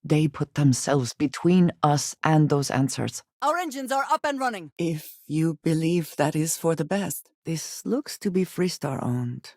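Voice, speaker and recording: An actress voicing a guarded, earnest female spacefarer in a video game, grave and loyal, with formal, measured phrasing. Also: sits low, big pitch swings, darkish tone, no room sound, clean studio sound, heavily acted. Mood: stern, indignant, then urgent shouting. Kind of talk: video game character voice lines.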